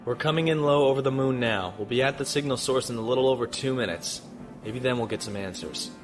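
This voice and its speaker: An actor playing a calm, determined spaceship pilot in a science fiction audio drama, speaking in clipped commands. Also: male voice, medium pitch, fast, faint music behind, close to an everyday voice. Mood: wary focus. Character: calm, determined male spaceship pilot, steady under fire.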